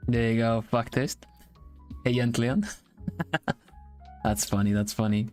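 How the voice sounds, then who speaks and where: Man, mid-pitched, casual streamer chat, halting with restarts, light music behind. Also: fairly even intonation, darkish tone. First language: Swedish. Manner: halting, repeats words while searching for them.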